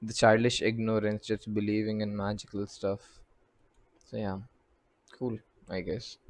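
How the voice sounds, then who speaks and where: Low-pitched man giving casual game commentary. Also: little pitch movement, measured pace. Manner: offhand, musing aloud. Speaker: gaming streamer.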